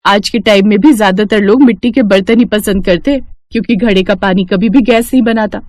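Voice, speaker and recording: A woman's voice: medium pitch, fairly quick, a little mellow, no room sound, close to an everyday voice. A woman voicing a friendly, chatty young female friend in a moral story. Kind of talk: dramatized Hindi moral story.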